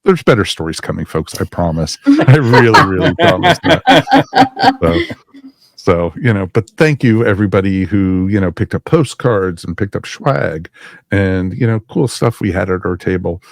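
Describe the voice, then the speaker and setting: A man's voice: deep voice, gravelly, no echo, clean studio sound. A man hosting a podcast in casual chat, rambling with lots of fillers. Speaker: podcast host.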